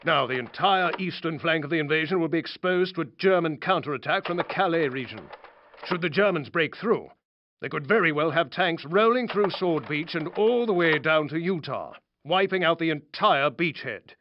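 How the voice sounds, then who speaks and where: A stern British military officer, played by a man in a game, briefs his men in clipped, commanding sentences. His voice sits a little high, and the audio is dull with clear noise. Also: lively intonation, moderate pace, close to an everyday voice. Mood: stern, formal. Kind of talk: scripted briefing.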